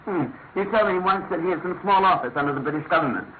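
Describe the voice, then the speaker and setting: Earnest, amiable male sidekick and storyteller, acted by a man, slightly high voice, measured delivery, old radio drama on a thin phone-like band. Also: a little hiss or hum, close to an everyday voice. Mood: mild, attentive. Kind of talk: classic radio drama narration and dialogue. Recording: tinny narrow-band radio sound, music behind.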